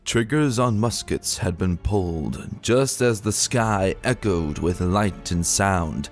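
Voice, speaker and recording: A man giving a dramatic, scripted narration of a dark fantasy adventure story. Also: lowish voice. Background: music.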